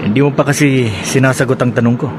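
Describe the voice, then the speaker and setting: A man's voice: medium pitch, fairly even intonation, fast talker, heavy background noise, natural voice. A man playing a teasing, curious police officer in a radio drama, with a conversational delivery. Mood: probing. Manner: inquisitive, conversational.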